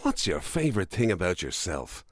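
Irish male co-host, mid-pitched and very animated, wordy, teasing banter. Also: moderate pace, dry recording. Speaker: radio show co-host. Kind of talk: casual podcast banter.

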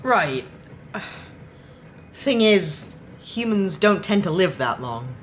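A woman's voice: fairly low pitch, very animated, slight background noise. A woman playing an anxious, awkward human space traveller in a sci-fi audio drama, with a hesitant, naturalistic delivery. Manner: hesitant, flustered, halting.

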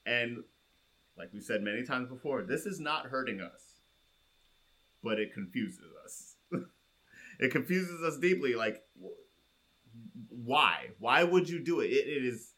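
A man's voice: medium pitch, animated, unhurried, long pauses, pushed and bright. A man co-hosting a comedy podcast with casual, emphatic banter and playful exasperation. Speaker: co-host.

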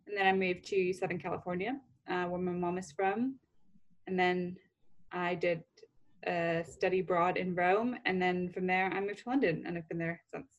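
A woman's voice: fairly low pitch, level tone, normal speed, dull audio. A young woman from the Pacific Northwest, a guest in a casual interview, chatty with frequent laughs and fillers. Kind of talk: casual conversation.

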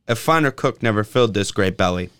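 A man's voice: lowish voice, expressive, quick pace, a bit bright, clear, resonant tone. A man acting a cowardly, grumbling giant husband in a radio drama, fretful and blustering. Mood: fond.

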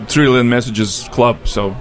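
A man's voice: mid-range voice, faint music behind. Russian-accented man hosting a tech panel. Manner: halting, repeats words, chuckles. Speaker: panel host. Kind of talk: casual panel chat.

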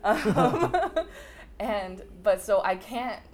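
A young woman in her twenties, a thesis researcher interviewing a theatre maker in a chatty way, full of restarts and quick laughs. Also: medium pitch, expressive. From Los Angeles, United States. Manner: chatty, many fillers and restarts, laughs easily. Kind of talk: casual conversational interview. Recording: music playing underneath.

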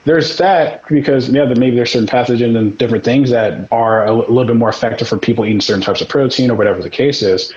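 A man's voice: mid-range voice, quick pace, hardly any pauses, a little mellow, dull audio. A man in casual podcast chat, speaking bluntly with some swearing and acting out the people he quotes.

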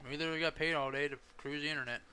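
A man's voice: on the high side, little pitch movement, very fast, slight background noise. A man co-hosting a casual podcast, crude and joking, trading banter with friends. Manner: crude, joking, loud bursts of mock yelling. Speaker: podcast co-host.

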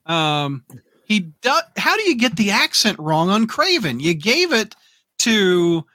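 A man's voice: sits high, very animated, measured pace, sharp tone, no room sound, studio quality. A man hosting a podcast in casual chat, reading out comments and reacting to them.

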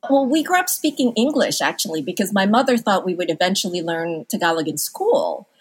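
A middle-aged woman from the Philippines, a physician answering interview questions in a thoughtful, halting way. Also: lowish voice, expressive, slightly rough. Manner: thoughtful, halting restarts with occasional laughs. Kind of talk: conversational interview.

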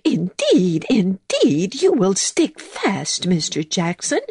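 A woman's voice: mid-range voice, huge pitch swings, slow pace, a little mellow, husky, no echo, clean studio sound, voice pushed up, exaggerated voice. A woman voicing a fussy, tidy little female mouse who talks in a prim, flustered way. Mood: prim, fretful.

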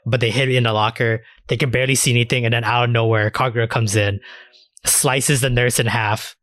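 A man chats as a podcast co-host in an informal, loose way. His pitch sits in the middle for a man, and the sound is dry, with hardly any room on it. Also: steady tone, brisk, a bit bright, no room sound. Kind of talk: casual chat.

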